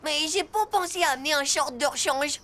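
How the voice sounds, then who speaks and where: A woman playing an excitable, sulky little schoolboy in an animated series, with a slangy, grumbling, dramatic delivery. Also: high voice, brisk, slight background noise. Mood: pleading.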